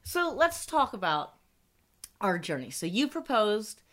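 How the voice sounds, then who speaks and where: A woman's voice: medium pitch, sing-song, unhurried, fairly bright tone. Bubbly middle-aged woman podcaster. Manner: bubbly, laughs often, chatty. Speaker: podcast co-host. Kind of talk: casual chat.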